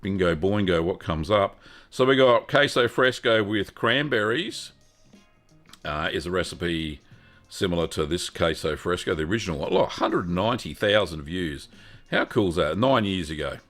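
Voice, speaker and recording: A man's voice: deep voice, a bit bright. An older man hosting a live-stream Q&A, talking in a rambling, friendly way with lots of ums. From Australia. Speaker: host answering cheese-making questions.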